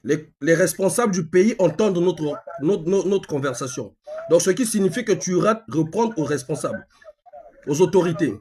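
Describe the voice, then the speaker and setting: A man from Congo with a Congolese accent and a husky voice, speaking insistently as a live-stream host, repeating phrases and asking rhetorical questions. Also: high-pitched, expressive, moderate pace, a little mellow, a little hiss or hum. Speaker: online activist host. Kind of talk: live-stream monologue in colloquial speech, with a phone call.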